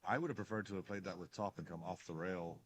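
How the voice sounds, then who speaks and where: A man casually commentating a live pool match stream, chatty and loud-voiced, on a headset mic in a bar. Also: low-pitched, fairly even intonation, fast, a little hiss or hum. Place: bar near a pool table. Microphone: headset mic with headphones.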